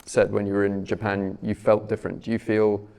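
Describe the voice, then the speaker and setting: Male interviewer, low gravelly voice, measured, halting phrasing. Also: little pitch movement, warm tone, slight background noise. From Britain. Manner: measured, searching for words, with fillers. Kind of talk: onstage author interview.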